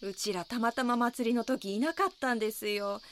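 A woman's voice: a little high, steady tone, moderate pace, slight background noise, close to an everyday voice. A woman playing a kindly, chatty middle-aged housewife with a Kansai accent in an audio drama. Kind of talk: Japanese audio drama acting.

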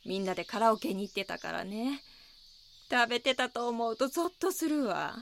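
やや高い声の関西弁のおばさん. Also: female voice, on the high side, expressive, moderate pace, gravelly, a little hiss or hum. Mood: kindly. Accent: Kansai. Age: middle-aged. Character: kindly middle-aged housewife, chatty and obliging. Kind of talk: Japanese audio drama acting.

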